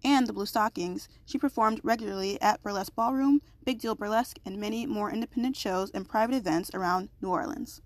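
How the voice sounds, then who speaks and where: A woman host who first reads a guest introduction and then chats casually over a remote call, bubbly and quick to laugh, a little congested. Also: mid-range voice, dry recording. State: slightly congested. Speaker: podcast host.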